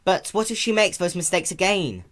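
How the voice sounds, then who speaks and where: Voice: mid-range voice, lively intonation, moderate pace, a bit bright, pitched above the performer's own voice, close to an everyday voice. A performer voicing a young boy baby dragon in a cartoon-based story reading, anxious, protective and pleading, with a stammer. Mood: worried, protesting.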